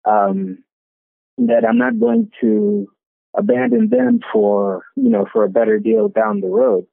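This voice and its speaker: Gravelly man on a tinny phone line. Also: high-pitched, sing-song, measured pace, dry recording. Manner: hesitant, many restarts and fillers. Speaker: business owner being interviewed. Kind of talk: casual interview answers.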